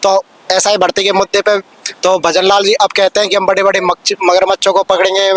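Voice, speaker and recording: A man's voice: high voice, flat delivery, fairly quick, fairly bright tone, no echo. A young man in his twenties, an exam aspirant at a street protest, complaining earnestly about a cancelled recruitment. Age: 20s. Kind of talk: street interview.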